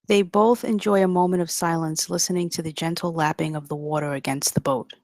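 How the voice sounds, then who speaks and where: A woman's voice: on the low side, fairly even intonation, a little fast, a little mellow, no echo. A woman narrator reading stage directions in a scripted table read.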